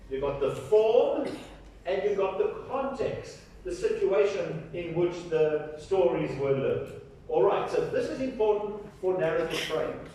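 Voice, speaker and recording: A man's voice: high-pitched, dark tone, distant mic, a little hiss or hum. A man giving a lecture, often checking in with his listeners. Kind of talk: academic lecture. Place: large echoey lecture hall. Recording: echoey hall, speaker off-mic.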